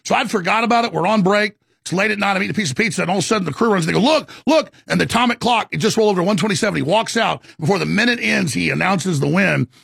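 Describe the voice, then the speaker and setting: A man hosting a talk show, telling stories in an urgent, animated conversational way with a gravelly, raspy voice, often acting out dialogue. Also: sits high, very fast, hardly any pauses, no echo, clean studio sound.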